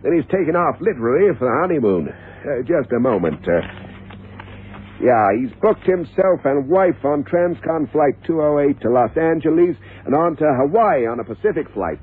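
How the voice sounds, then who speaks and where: Actor as a glib, higher-voiced private eye. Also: male voice, animated, normal speed, close to an everyday voice. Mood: businesslike. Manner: glib, colloquial. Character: chatty, small-time wisecracking male private investigator. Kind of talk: 1970s radio drama acting. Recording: tinny, noisy old radio recording.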